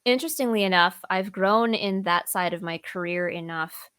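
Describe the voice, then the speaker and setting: A woman in a casual interview chat while playing a video game, thoughtful and halting with playful asides. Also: medium pitch, a bit bright, smooth, no room sound, studio quality. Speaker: interview guest, voice actor. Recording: music playing behind the voice.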